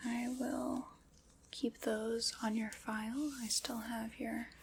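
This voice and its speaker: A woman whispering breathily in an ASMR roleplay, soft, unhurried, formal and reassuring. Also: a little high, gravelly, noisy background.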